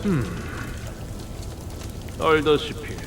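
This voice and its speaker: Higher-voiced, officious male agent. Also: very slow, over music. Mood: insinuating. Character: smooth, officious male corporate agent making overtures. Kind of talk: dramatic game voice acting.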